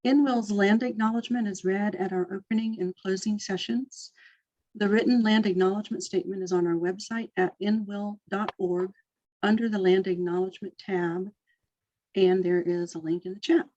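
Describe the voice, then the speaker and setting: A woman reads out scripted session announcements in a formal, measured way, at a middle pitch. The audio is dull and lo-fi, like a video call. It sounds dry and close, with no room around her. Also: no room sound. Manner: formal, even reading. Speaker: session convener.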